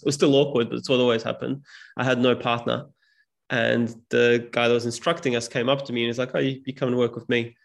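Male podcast guest, Serbian first language, gravelly and even-toned, thoughtful and halting. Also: medium pitch. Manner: reflective, hesitant with fillers. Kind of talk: casual conversation.

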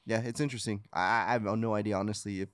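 A man's voice: fairly low pitch, steady tone, fast talker, fairly bright tone, no echo. A man co-hosting a casual gaming chat in an understated, tentative manner. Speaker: podcast co-host.

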